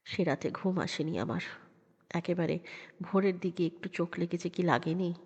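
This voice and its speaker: A woman's voice: fairly low pitch, normal speed, darkish tone, husky. A woman reading a horror story as a dramatic, suspenseful narrator. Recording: dull sound with long reverb.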